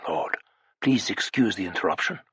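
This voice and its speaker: Man voicing young male butler, deferential, polite, hushed, husky, dry. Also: on the low side, animated, normal speed, dry recording, voice pushed down, heavily acted.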